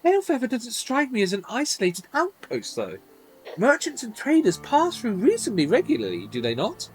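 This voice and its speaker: A man voicing an earnest young gentleman scholar from a game, formal, measured and a little pompous. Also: extremely high pitch, huge pitch swings, slight background noise, voice pushed up, exaggerated voice, rough recording. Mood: earnest, reasoning. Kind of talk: reading game dialogue in character.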